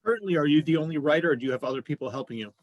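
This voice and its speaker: A man's voice: a little high, animated, very fast, no room sound, dull audio. A man hosting a casual interview, putting questions to a guest in a conversational way. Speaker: interviewer and show host.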